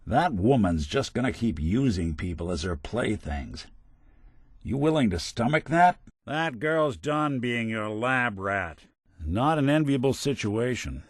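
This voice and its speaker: An actor playing a world-weary, wry but kindhearted android detective in a video game, with hardboiled old-fashioned slang. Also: male voice, mid-range voice, very animated, a little slow, no room sound, studio quality. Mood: stern.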